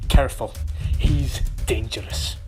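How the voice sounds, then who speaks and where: A man acts a bitter renegade Time Lord. He speaks very slowly and gravely, with deliberate menace, in audio drama style over clear background music. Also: medium pitch, steady tone, natural voice. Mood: wary, menacing.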